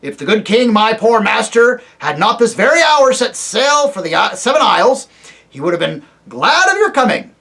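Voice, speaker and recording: A reader voicing a very old, deaf and irritable male dwarf who talks loudly and mishears words, in a storybook reading. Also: medium pitch, very animated, moderate pace, gravelly, voice pushed up. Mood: warm, stately. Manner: loud, testy, mishears words.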